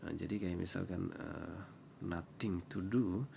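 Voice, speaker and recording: Gravelly low man with Javanese accent. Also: fairly even intonation, a little slow, warm tone, slight background noise. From Java, Indonesia. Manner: mixes English and Indonesian, hesitant with many fillers. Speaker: vlogger giving tips. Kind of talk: casual commentary.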